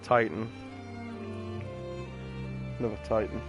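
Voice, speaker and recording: A man's voice: fairly low pitch, steady tone, slow, muffled recording. A man giving casual gameplay commentary in a rambling, matter-of-fact, slangy way. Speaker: game streamer. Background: game music and sound effects.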